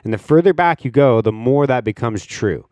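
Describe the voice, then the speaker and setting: A man's voice: medium pitch, expressive, moderate pace, darkish tone, no room sound. A young man hosting a solo podcast, talking in a rambling, reflective way with lots of fillers and casual swearing. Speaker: podcast host.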